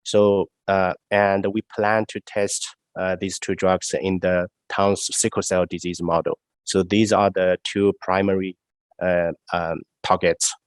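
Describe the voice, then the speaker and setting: Nasal, congested man with a low voice. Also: level tone, clean tone, no echo. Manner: halting, with many fillers. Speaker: research scientist presenting. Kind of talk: answering questions after a talk.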